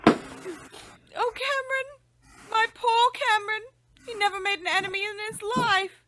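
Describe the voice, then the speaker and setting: Woman playing genteel emotional lady, very high voice, theatrical, radio drama, noisy clicky recording. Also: a little slow. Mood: distraught. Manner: dramatic, exclamatory. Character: genteel, emotional British lady, grieving widow. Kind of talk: old-time radio drama acting.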